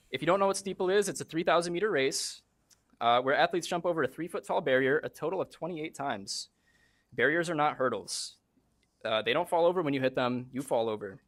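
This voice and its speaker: A man's voice: on the high side, fairly bright tone. A man, a track coach, reading an earnest prepared statement at a school board meeting. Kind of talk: prepared public comment.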